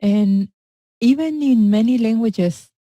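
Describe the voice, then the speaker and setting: A woman whose first language is Spanish, talking in an animated, halting way during a conversational discussion, laughing often. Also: fairly high pitch, no echo, highs cut off. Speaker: co-host.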